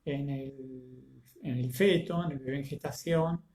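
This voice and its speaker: Slow-talking man with a cold. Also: medium pitch, steady tone, a little mellow, reverberant. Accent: Rioplatense Spanish. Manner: greets attendees by name, halting with many fillers. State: congested with a cold and fever. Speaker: teacher. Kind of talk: live online lecture.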